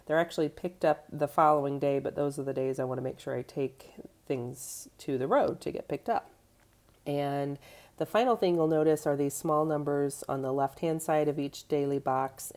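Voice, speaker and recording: Woman, 30s, low mellow voice, getting over a cold, chatty home vlogger with plenty of fillers. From United States. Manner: chatty, with fillers and small self-corrections. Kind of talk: casual show-and-tell. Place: home kitchen.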